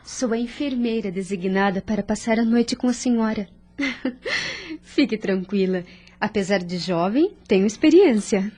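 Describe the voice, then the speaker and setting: An actress playing a friendly, devout young nurse in an audio drama, soothing, polite and earnest, with a Brazilian accent. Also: female voice, fairly high pitch, animated, unhurried, darkish tone. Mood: caring. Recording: music under the voice.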